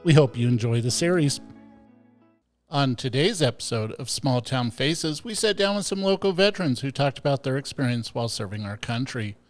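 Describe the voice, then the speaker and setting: Male narrator, scripted voice-over, mid pitch, faint backing music. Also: expressive, normal speed. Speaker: narrator of news series.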